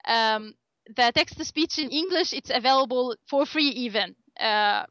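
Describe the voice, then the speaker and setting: A woman whose first language is Arabic, speaking as an interview guest and often pausing on filler sounds. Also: on the high side, pushed and bright, no echo, lo-fi sound. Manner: hesitant, many filler sounds, laughs often. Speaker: interview guest, assistive tech volunteer. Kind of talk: conversational interview.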